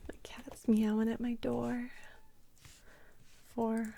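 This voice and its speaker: A woman speaking softly in an ASMR style, murmuring to herself as she works at a keyboard, at times dropping to a whisper. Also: on the high side, flat delivery, takes it slowly, creaky, a little hiss or hum. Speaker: ASMR roleplay creator and scriptwriter. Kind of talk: ASMR, quiet murmured aside while working.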